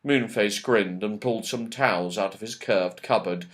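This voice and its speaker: A man reading a children's storybook aloud, expressive and lively, with voices for the characters. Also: on the low side, moderate pace. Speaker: audiobook reader.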